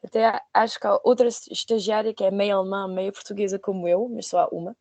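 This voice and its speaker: Mellow young woman, hesitant podcast guest. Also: mid-range voice, fairly even intonation, quick pace, gravelly, dry recording. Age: 20s. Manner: hesitant, searching for words with repetitions. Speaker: podcast guest, former trainee. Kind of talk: conversational interview answers.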